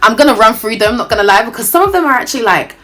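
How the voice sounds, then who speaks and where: A woman in her twenties from Nigeria, chatting casually through a Q&A on her vlog, playful and quick to joke. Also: a little high, lively intonation, bright, forceful tone, slight background noise. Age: 20s. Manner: chatty and playful, jokes and laughs often. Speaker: YouTuber.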